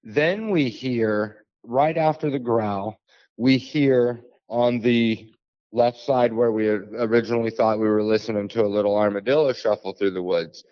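A man, telling a frightening encounter as a podcast guest, halting with many fillers. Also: a little mellow, no room sound. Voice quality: deep bassy voice. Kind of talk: storytelling an encounter. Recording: dull lo-fi dry sound.

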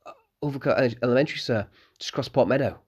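A man's voice: mid-range voice, rapid-fire, darkish tone, breathy edge, muffled recording, voice pushed up, close to an everyday voice. A man voicing an eager, polite eleven-year-old innkeeper's boy who keeps calling the guests sir.

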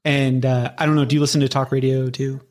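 A man's voice: mid-range voice, little pitch movement, fast talker. A man with an American accent, interviewing casually in an easygoing, informal way with loose, hesitant phrasing. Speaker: podcast coach, interviewer.

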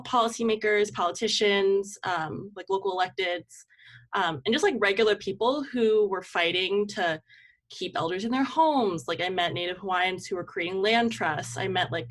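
A woman's voice: medium pitch, fairly bright tone. A young woman speaking casually and reflectively as an interview guest, often dropping "like" into her sentences. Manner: informal, reflective, peppers speech with "like". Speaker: guest, community organizer and campaign manager.

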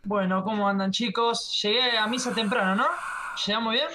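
A man's voice: high voice, fairly even intonation, moderate pace, background noise. A man with an Argentine accent chatting casually and jokingly as a podcast guest. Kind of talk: casual chat.

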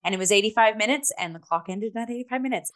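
A woman's voice: medium pitch, fast talker. A woman co-hosting a casual theatre review, chatty and peppering her talk with "like". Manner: chatty, frequent "like" and hedging.

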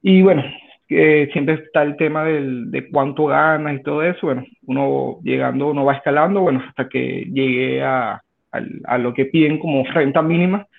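A Venezuelan man in his thirties, a guest in an interview, answering in a halting way with many restarts. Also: a little high, vocal fry. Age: 30s. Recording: tinny phone line.

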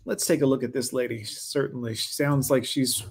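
A man's voice: medium pitch, fairly even intonation, normal speed, vocal fry, over music. A man hosting a reaction commentary, talking over a video with mocking, sarcastic asides.

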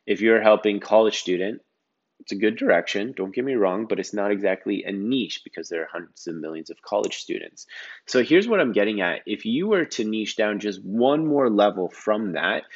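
A man's voice: lowish voice, expressive, normal speed, husky, dull audio. A man hosting a casual solo podcast, talking with energy and emphasis and often repeating words for stress, with a slightly groggy morning voice. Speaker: podcast host.

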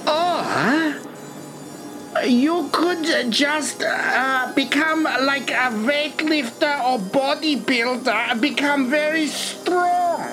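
A woman voicing an earnest Austrian man with an Austrian accent, deliberately telling a comic childhood story over music. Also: high voice, animated, heavily acted, poor audio. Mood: earnest reminiscing. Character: Austrian man named Herman earnestly recalling his schooldays.

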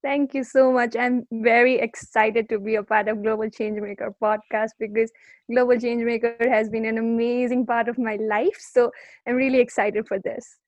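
A young woman in her early twenties with a Nepali accent, speaking earnestly and softly as a podcast guest, often pausing to search for words. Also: on the high side, level tone, no room sound. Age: young adult. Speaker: guest, youth climate activist. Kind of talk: podcast interview.

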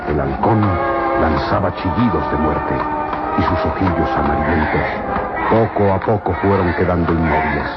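A man with a deep voice delivers dramatic, solemn narration for a radio serial. The sound is muffled like an old broadcast, with prominent music behind him. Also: moderate pace, over a noisy crowd. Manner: solemn, theatrical. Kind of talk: dramatic scripted narration in Spanish.

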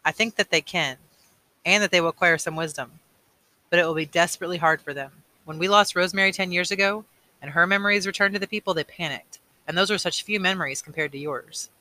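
A man reads as a weary elder mentor in a storybook. He speaks with grave, measured authority in a clear-edged, very bright voice, earnest and firm. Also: high-pitched, rough recording. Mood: solemn, urgent.

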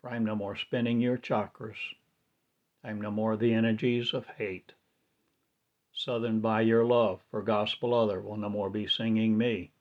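Slow, low-voiced man chanting a monologue. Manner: chanting, trance-like, grandiose, repetitive phrasing. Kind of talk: slow incantatory spoken-word monologue. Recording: dull lo-fi recording.

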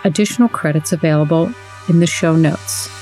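An announcer with a mid-pitched voice reads scripted end credits for an audio drama. Music plays clearly behind the voice throughout. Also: brisk, a little mellow, low-quality recording.

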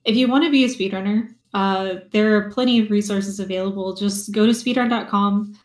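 Woman, soft voice, guest streamer, measured, casual chat, roomy echo. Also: mid-range voice, steady tone. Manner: measured, thoughtful, with many "um"s. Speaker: podcast guest, full-time streamer.

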